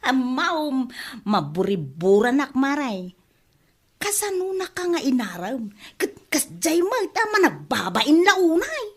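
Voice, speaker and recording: A woman's voice: sits high, sing-song, slow, exaggerated voice. A woman with an Ilocano Filipino accent acting a nosy, teasing gossip in a radio comedy drama. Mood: curious, teasing.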